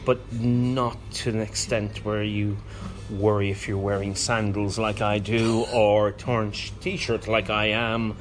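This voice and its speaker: A man's voice: on the low side, little pitch movement, unhurried, hardly any pauses, soft background music. A man with an Irish accent chatting casually and wryly as a podcast guest, with jokey banter. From Ireland. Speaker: podcast guest, gaming event representative. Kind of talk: casual chat. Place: hotel breakfast area. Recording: clearly noisy room ambience.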